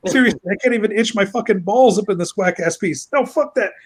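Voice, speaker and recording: A man acts out a resurrected demonic corpse in a comic tale, pitched well up and pushed loud. He is pompous, crude and furious, blustering and swearing as he boasts. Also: fast talker, husky. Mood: outraged panic.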